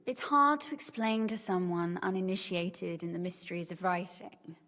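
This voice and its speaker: A woman's voice: fairly low pitch, normal speed, fairly bright tone, in a large hall. An actress playing a shrewd, teasing young medieval noblewoman in a video game, speaking in articulate, formal phrases. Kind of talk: scripted game dialogue.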